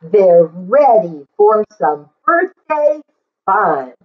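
A middle-aged woman reading aloud to young children in an animated, sing-song way, with encouraging asides. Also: medium pitch, extremely slow, narrow, tinny audio. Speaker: children's story reader and teacher. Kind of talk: read-aloud for young children, repeating lines slowly. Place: small plain indoor room.

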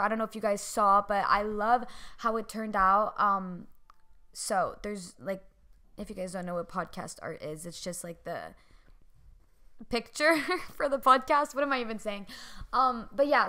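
A young woman hosting her solo podcast, a little hoarse, chatting casually with lots of fillers and self-deprecating asides. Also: mid-range voice, sharp tone. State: hoarse from screaming the day before. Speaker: podcast host.

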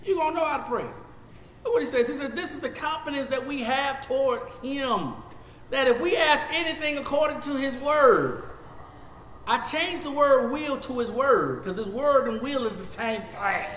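A man in his fifties with a Southern US accent teaching a Bible study as pastor. He swings from shouting to low talk, recorded in a church sanctuary on a lapel mic. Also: moderate pace, echoey room, in a large hall, slight background noise, poor audio. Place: church sanctuary, seated before a projection screen.

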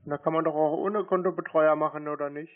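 A man in a phone conversation, deadpan and laconic, stubbornly questioning the other party. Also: a little high, fast talker. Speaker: prank call recipient, scam baiter. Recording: muffled narrowband phone line.

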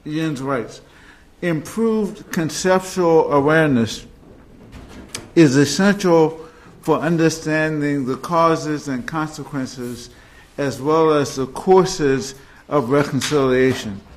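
A man's voice: a little high, takes it slowly. A middle-aged man introducing a speaker at a podium microphone in a large hall, carefully reading a passage aloud. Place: large university lecture hall.

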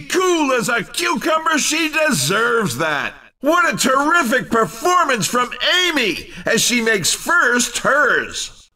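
A man playing an upbeat, excitable race commentator in a video game, with punchy, emphatic sports-commentary delivery. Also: very high-pitched, distant mic, full character voice. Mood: excited. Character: upbeat, excitable male racing-game announcer.

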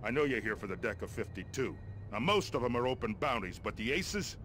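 An actor playing a gruff, blunt American army colonel with a wry, folksy streak in a video game. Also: male voice, mid-range voice, sing-song, a little fast, a bit bright, soft background music. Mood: commanding. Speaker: army colonel, commander giving mission briefings. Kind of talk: video game character dialogue.

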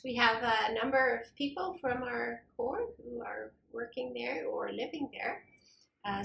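A middle-aged woman interviewing a church staff member with gentle, measured questions in a small office. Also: a little high, vocal fry. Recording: echoey office room.

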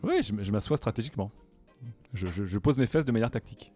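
A man playing a tabletop game, speaking in a measured, mock-tactical way into a headset mic. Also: fairly low pitch, expressive, darkish tone, no room sound, faint music behind. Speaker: player.

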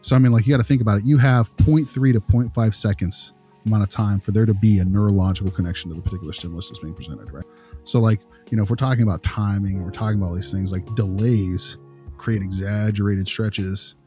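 A man's voice: fairly low pitch, fast talker, dark tone, soft background music. A man explaining things casually as a podcast co-host.

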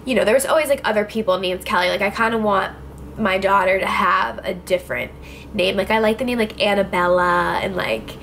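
A woman's voice: medium pitch, a little fast, a bit bright. Twenty-year-old woman, beauty vlogger, chatty and filler-heavy, close to camera, faint hiss behind. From New Jersey. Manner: chatty, filler-heavy, lots of "like" and "I don't know". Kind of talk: casual Q&A vlog. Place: indoors, small room with clothes rack behind. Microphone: camera facing her at close range.

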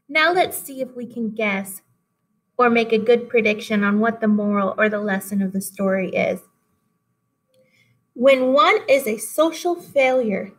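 A woman in her thirties, a teacher giving an expressive, instructive read-aloud lesson for children. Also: fairly high pitch. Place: small classroom indoors.

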